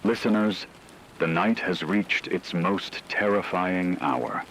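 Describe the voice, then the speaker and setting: A man playing a grave radio announcer, solemnly reading scripted horror narration in a measured, ominous way. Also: low-pitched, a bit bright, slight background noise, rough recording. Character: grave male radio announcer reporting a werewolf apocalypse. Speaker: radio emergency broadcast announcer.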